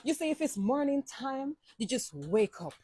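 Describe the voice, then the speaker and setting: Middle-aged woman, from Cuba, Jamaican accent, warm husky voice, dry close recording. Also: high-pitched, big pitch swings, normal speed, no room sound. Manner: storytelling in patois, counting a list.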